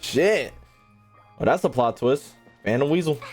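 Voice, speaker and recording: A man's voice: mid-range voice, lively intonation, a little slow, darkish tone. A man streaming a game with casual, slangy reaction commentary, swearing freely and heckling in mock outrage. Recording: faint game music behind the voice.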